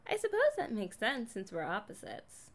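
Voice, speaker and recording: A young woman playing a kind, curious but guarded mermaid in a conversational ASMR roleplay, chatting casually with hesitant pauses. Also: a little high, wildly swooping pitch, heavily acted. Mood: curious, teasing.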